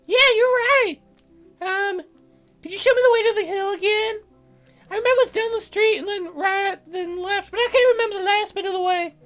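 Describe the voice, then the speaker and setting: A woman's voice: very high voice, moderate pace, faint music behind, pitched above the performer's own voice. A woman voicing a playful, teasing young fairy girl in a visual novel, with a girlish, sing-song delivery. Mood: bright, earnest. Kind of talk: visual novel character read in a let's play.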